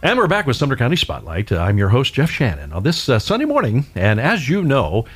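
Lively, chatty radio host man. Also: mid-range voice, a little fast, breathy edge, faint music behind. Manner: chatty, upbeat, affirming the guest. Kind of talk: radio talk show interview.

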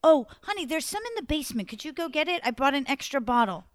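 A woman's voice: sits high, sing-song, fast, no echo. A woman voicing a busy, exasperated mother in a children's story.

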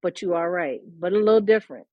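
A woman with a low, husky voice explains her ideas in a thoughtful, lecturing way, pausing and restarting as she goes. Her voice sounds close and dry. She speaks as an author and scholar on a podcast. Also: dry recording, dull audio. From mid-Atlantic United States. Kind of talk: conversational podcast discussion.

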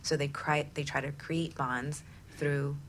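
A woman's voice: low-pitched, steady tone, unhurried, a little hiss or hum. A woman hosting a conversational radio interview, affirming and summing up points as she goes. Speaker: talk show host.

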